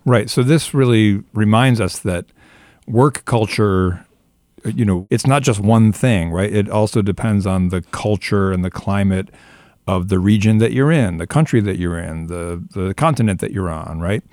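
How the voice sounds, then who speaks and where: Low-voiced male interviewer, dry. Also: normal speed, a little mellow, no echo. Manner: encouraging, rephrases answers with you know and right. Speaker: interviewer, faculty host. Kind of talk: conversational interview.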